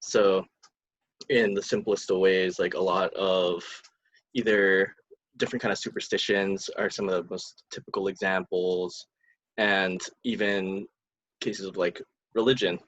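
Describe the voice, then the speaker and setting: A young man from Hawaii talking on a casual student podcast, hesitant and halting, with many pauses. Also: low-pitched, steady tone, fairly bright tone, lo-fi sound. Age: young adult.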